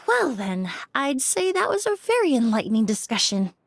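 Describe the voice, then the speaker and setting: Composed, higher-voiced female investigator. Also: very animated, gravelly, full character voice. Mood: analytical. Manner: measured, polite, analytical. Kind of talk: video game voice acting.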